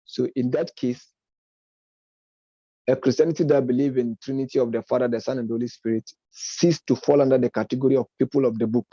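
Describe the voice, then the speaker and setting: Man arguing a point in a dark voice. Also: medium pitch, fairly even intonation, slightly rough, dry recording, lo-fi sound. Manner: argumentative, self-correcting, measured delivery. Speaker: speaker on politics and religion. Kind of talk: unscripted lecture-style commentary.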